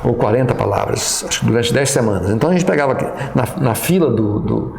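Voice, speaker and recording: A man's voice: medium pitch, expressive, a little fast, creaky, darkish tone, echoey room. A middle-aged man with a Brazilian Portuguese accent, a pastor and professor recalling his past in an interview, talking hesitantly with many fillers and restarts. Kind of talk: reminiscing interview.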